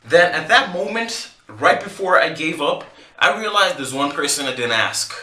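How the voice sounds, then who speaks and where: Man, vlogger, comic storytelling, animated and joking, slightly high voice, echoey room. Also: moderate pace. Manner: animated, joking, laughs between lines.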